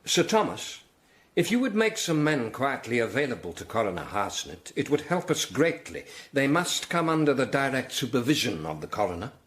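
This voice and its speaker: An actor playing a grave, commanding archbishop in a period radio drama, formal and authoritative. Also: male voice, mid-range voice, big pitch swings, normal speed, fairly bright tone. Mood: stern, demanding. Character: grave, commanding Tudor archbishop, urgent and exacting.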